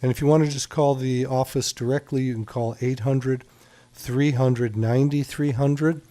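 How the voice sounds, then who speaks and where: A man's voice: medium pitch, steady tone, normal speed, dark tone. A man hosting a radio call-in show as a doctor, chatty and reassuring with callers.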